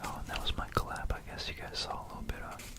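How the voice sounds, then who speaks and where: This is a fully whispered voice with no real tone behind it, only breath. The speaker hosts ASMR narration in an informal, rambling way. The delivery is gentle and a little hesitant, suited to bedtime listening. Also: quick pace. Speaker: ASMR video creator and host.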